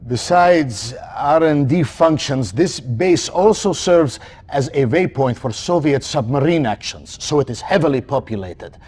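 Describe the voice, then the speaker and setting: General, husky voice, mid pitch, calm briefing tone. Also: male voice. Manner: measured briefing. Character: grim male military general. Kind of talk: video game cutscene acting.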